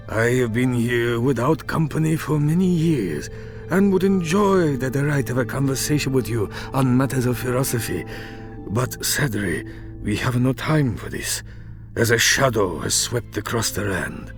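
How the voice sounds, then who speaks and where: Stately wise emperor, measured, formal, grave, medium-pitched male voice, fantasy game, background music. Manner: measured, formal, philosophical gravity. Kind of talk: dramatic video game voice acting.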